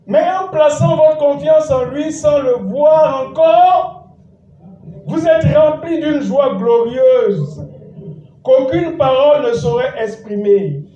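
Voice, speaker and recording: Slow high-voiced pastor in echoey church. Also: male voice, mellow. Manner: exhorting, repeats phrases, calls and responses with congregation. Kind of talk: sermon. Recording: echoey church hall, distant mic, dull sound.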